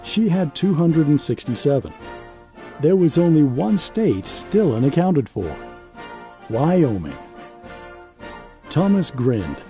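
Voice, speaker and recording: A man reading a children's picture book aloud as an expressive storyteller, with measured, dramatic phrasing and pauses for emphasis. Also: on the high side, faint music behind.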